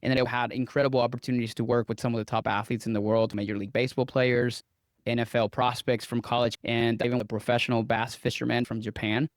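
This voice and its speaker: A man's voice: mid-range voice, flat delivery, fast, dry recording, studio quality. A man, a guest dietitian who tells his story earnestly and sometimes repeats himself. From Venezuela. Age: 30s. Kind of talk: interview answers.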